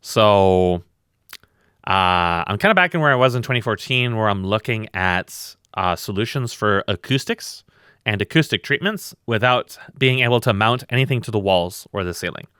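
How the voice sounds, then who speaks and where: Loud tired guy rambling bluntly on a podcast, swears a lot, bright clear voice, dry mic. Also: on the low side, expressive, normal speed, no room sound. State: very tired from lack of sleep. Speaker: podcast co-host. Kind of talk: casual podcast chat.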